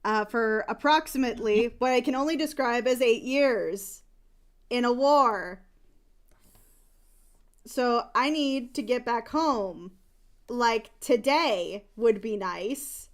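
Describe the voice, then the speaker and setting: A woman voicing a weary, homesick male king back from war, speaking in a rambling, halting way. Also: fairly high pitch. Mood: impatient.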